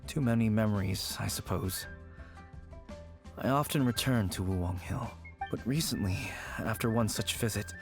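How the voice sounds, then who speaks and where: A man's voice: fairly low pitch, moderate pace, vocal fry. A man voicing an anxious young man haunted by the loss of a childhood friend, who speaks hesitantly, heard as game audio over background music. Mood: worried. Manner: hesitant, worried and soft-spoken.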